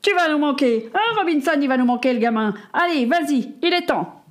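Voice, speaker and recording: Woman playing a burly ship's cook, hearty, affectionate, bit high. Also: expressive, fairly quick. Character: big jolly ship's cook, warm-hearted man.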